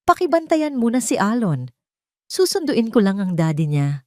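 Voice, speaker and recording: A woman's voice: a little high, sing-song, normal speed, warm tone, no room sound, studio quality, pitched above the performer's own voice. A woman voicing a polite young wife and mother who speaks respectfully. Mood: worried.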